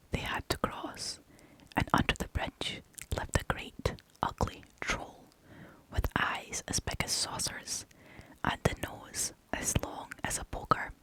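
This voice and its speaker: A storyteller reading folk tales in a breathy, unvoiced ASMR whisper, gently and expressively. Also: rough recording. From United Kingdom.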